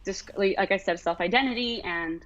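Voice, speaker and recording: A woman's voice: medium pitch, a little fast, fairly bright tone, no echo, lo-fi sound. A young American woman, an artist being interviewed, speaking casually with many fillers and restarts. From United States.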